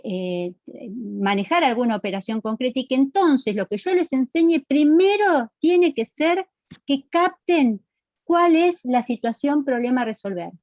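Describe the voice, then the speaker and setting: High-voiced Argentine woman lecturing. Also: a little mellow, no echo, dull audio. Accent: Rioplatense Argentine. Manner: didactic, emphatic, checks understanding with short questions. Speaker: physical education lecturer. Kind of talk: classroom lecture to students.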